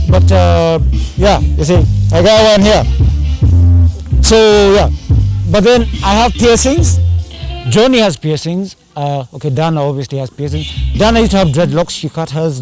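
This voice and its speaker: A man's voice: fairly high pitch, darkish tone, poor audio. A man chatting casually in an interview, rambling and slipping into Swahili slang. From Kenya. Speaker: band singer and drummer.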